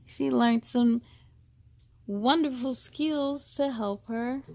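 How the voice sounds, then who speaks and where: A woman hosting a children's story time, speaking to young listeners in an expressive, encouraging, deliberate way. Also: high voice, slight background noise. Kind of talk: read-aloud with encouraging commentary to children.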